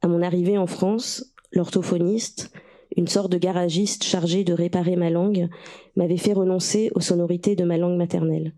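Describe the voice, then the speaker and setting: A woman author from Russia, a native Russian speaker, with a gravelly, dark and mellow voice. Her pitch stays flat and even as she reads her own writing steadily, then turns hesitant and searching with many pauses and fillers when answering questions.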